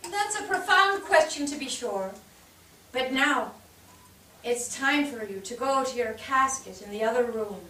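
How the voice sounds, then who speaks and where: A woman acting a knowing, unhurried otherworldly guide of the dead in a theatrical staged reading. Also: high-pitched, expressive, sharp tone, a little hiss or hum, exaggerated voice. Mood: measured, wry. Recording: echoey stage, distant mic.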